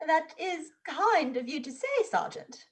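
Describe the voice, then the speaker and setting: A woman's voice: high voice, lively intonation, unhurried. A woman playing a cruel orphanage matron, obsequious and affected, in a theatrical stage play reading. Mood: fawning.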